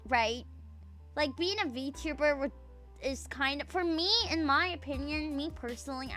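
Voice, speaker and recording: A young woman with a bright, fairly high voice rambles through a casual live stream, swearing freely and stumbling over fillers and false starts. Faint music plays behind her. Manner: rambling, profane, filler-heavy, trails off mid-sentence. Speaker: VTuber streamer. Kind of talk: casual stream chat, reading chat aloud.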